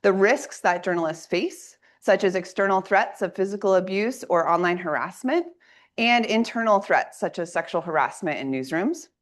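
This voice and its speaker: A woman lecturing to a room of listeners, her voice slightly raspy because she is coming down with a cold. She lays out her points in an orderly, clear way. She speaks at a middling pitch for a woman. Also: expressive, moderate pace. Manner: clear, organized lecturing with audience asides. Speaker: assistant professor giving a workshop talk. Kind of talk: academic presentation.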